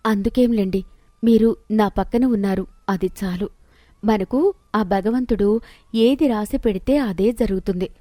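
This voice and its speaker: A woman voicing a devoted, long-suffering young wife with a gentle, resigned manner in a moral story. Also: mid-range voice, measured pace, no echo, studio quality, lightly acted.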